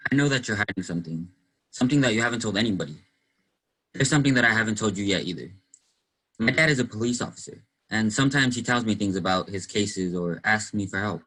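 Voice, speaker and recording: A man's voice: fairly low pitch, fast, fairly bright tone, poor audio. A young man acting in a play as an earnest, inquisitive teenage boy who likes to play detective. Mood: probing, serious. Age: young adult. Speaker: student actor in a school play. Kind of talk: one-act play acting.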